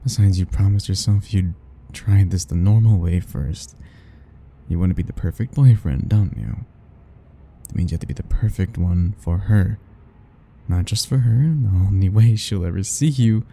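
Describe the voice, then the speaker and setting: Casting: male performer as obsessive yandere suitor, ASMR roleplay. Low, gravelly murmur, doting and possessive, coaxing. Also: a little hiss or hum. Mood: conflicted, yearning. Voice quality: soft, husky, breathy. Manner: slow, intimate murmuring, coaxing and self-talking. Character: soft-spoken obsessive yandere man, doting and possessive, young. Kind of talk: ASMR romance roleplay acting.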